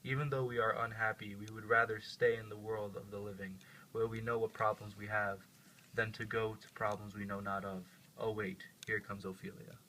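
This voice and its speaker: A man's voice: fairly low pitch, level tone, moderate pace, pushed and bright, slight background noise, poor audio. A man playing a grieving, bitter young prince in an amateur puppet show. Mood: brooding.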